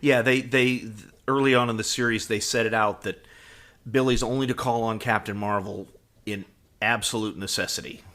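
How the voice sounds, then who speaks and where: A man co-hosting a podcast in casual fan discussion, halting and often repeating words as he thinks. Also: on the low side, fairly even intonation, sharp tone. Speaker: podcast co-host.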